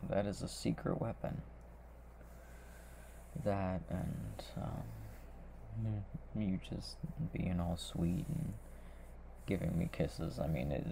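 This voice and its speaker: A young man playing a tender, needy, giggly boyfriend in an intimate ASMR roleplay, speaking softly and haltingly. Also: sits low, vocal fry, a little hiss or hum, full character voice. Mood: sleepy, affectionate. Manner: soft, hesitant, halting.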